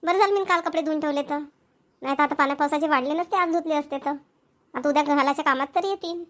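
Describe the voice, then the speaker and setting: A woman plays a cartoon village mother who nags and scolds her children. Her voice is high and the words come very fast, in a rural Vidarbha Marathi accent. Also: a bit bright. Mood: matter-of-fact. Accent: Maharashtrian, Vidarbha Marathi. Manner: nagging, scolding. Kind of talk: cartoon family comedy dialogue.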